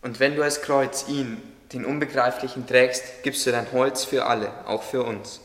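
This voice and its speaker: A man's voice: mid-range voice, fairly even intonation, moderate pace, a bit bright, close to an everyday voice. A man playing a thoughtful, devout gardener in a German radio play. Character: thoughtful, devout male gardener, friend to animals. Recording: echoey hall, clear background noise.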